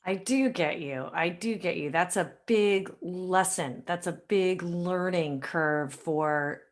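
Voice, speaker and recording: A woman's voice: lowish voice, slow pace. A woman hosting a podcast in a conversational, informal way, thinking aloud as she talks. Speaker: podcast host. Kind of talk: conversational interview.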